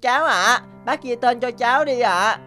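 An actor playing a cocky, eager little boy mouse in an animated cartoon, excitable in manner. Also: mid-range voice, normal speed, natural voice. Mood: pleading. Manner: boastful, pleading, excitable. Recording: music behind the voice.

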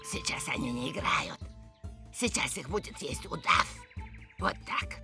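A woman voicing an ominous, gossipy bird in an animated cartoon, whispery and deadpan. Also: low-pitched, measured pace, vocal fry, over music, exaggerated voice.

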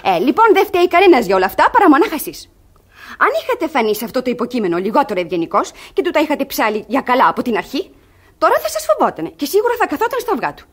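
High-voiced crafty young ward, theatrical. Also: female voice. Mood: indignant, sly. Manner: theatrical, emphatic, artfully expressive. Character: crafty young ward feigning wounded virtue, coaxing and indignant. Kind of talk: Greek radio theatre comedy acting.

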